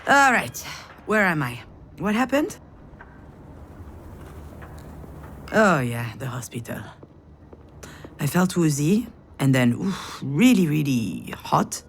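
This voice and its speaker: Frazzled woman, visual novel lead, slightly low pitch, sardonic, confessional, hesitant, background noise. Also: very animated, unhurried, heavily acted. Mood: groggy, sardonic. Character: frazzled, self-deprecating woman screenwriter, wry inner monologue. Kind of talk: visual novel voice acting, first-person narration.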